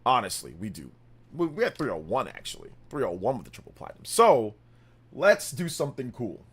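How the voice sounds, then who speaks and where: A man's voice: medium pitch, huge pitch swings, fairly quick, breathy edge. A man in his fifties hosting a casual gaming livestream, rambling and correcting himself as he talks to chat, laughing often. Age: 50s. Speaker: livestream host.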